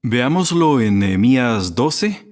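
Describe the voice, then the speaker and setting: A man delivering a prepared religious sermon in a measured, didactic manner. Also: medium pitch, big pitch swings, darkish tone. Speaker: religious speaker at a graduation.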